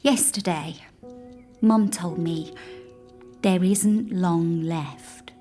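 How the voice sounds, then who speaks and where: A woman playing a grieving, imaginative young girl who confides in her toy polar bear in an audio drama, hushed and childlike. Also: fairly low pitch, extremely slow, soft background music. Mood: subdued, sad. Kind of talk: intimate audio drama monologue.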